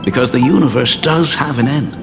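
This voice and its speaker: A man's voice: medium pitch, fairly quick, lightly acted. A man voicing an affectionate middle-aged father in a video game, talking tenderly and playfully to his young daughter. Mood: tender, wistful. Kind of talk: dramatic video game acting. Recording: long reverb, music behind.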